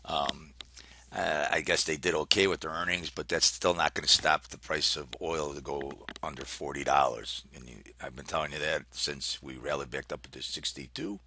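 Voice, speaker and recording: A man giving informal, unscripted market commentary as an analyst, talking haltingly as he thinks aloud and reads out numbers. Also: rumbling low, fairly even intonation, vocal fry, sharp tone, a little hiss or hum. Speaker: market analyst hosting a trading briefing.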